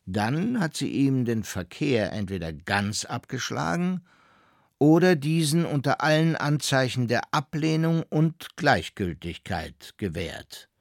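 A man's voice: mid-range voice, animated, takes it slowly, vocal fry, no room sound, studio quality. An actor playing a formal male divorce lawyer who reads out a legal letter in stiff official phrasing.

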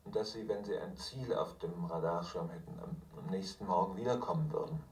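A man's voice: sits low, a little fast, darkish tone, natural voice. A man giving an earnest spoken account, heard as a broadcast played back in a room. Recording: distant, echoey broadcast playback.

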